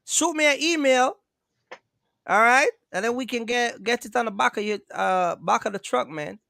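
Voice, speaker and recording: A man's voice: very high-pitched, moderate pace, vocal fry, sharp tone, no room sound, studio quality. A man hosting a casual live stream, joking and teasing his guests, often talking over them. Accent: Jamaican-influenced. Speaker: host.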